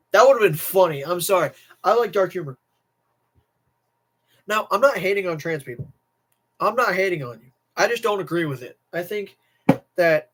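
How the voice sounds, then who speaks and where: A young American man ranting casually and emphatically as a livestream host, repeating himself and swearing. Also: high-pitched. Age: young adult. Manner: emphatic, repetitive, profane. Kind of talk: casual livestream rant to chat.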